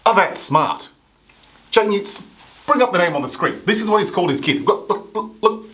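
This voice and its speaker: A man's voice: high-pitched, expressive, moderate pace, a bit bright, soft background music. A man from Africa hosting a comedy show, delivering a satirical monologue with sarcastic, punchy mock outrage.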